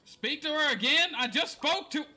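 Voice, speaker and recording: A woman's voice: high voice, moderate pace, sharp tone. A woman casually commenting on a game live, blunt and playful. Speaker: game streamer.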